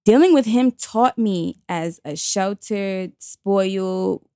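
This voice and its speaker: A woman's voice: medium pitch, slow, mellow, clean tone, dry recording, clean studio sound. A woman, telling a personal story bluntly and emphatically in slangy casual chat. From Queens, New York.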